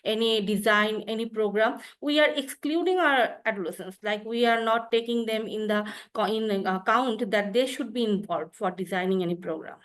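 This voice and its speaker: Female doctor, earnest and methodical, fairly high voice. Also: moderate pace, few pauses. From Bangladesh. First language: Bengali. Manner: earnest, laying out points one by one. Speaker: physician. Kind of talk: panel discussion.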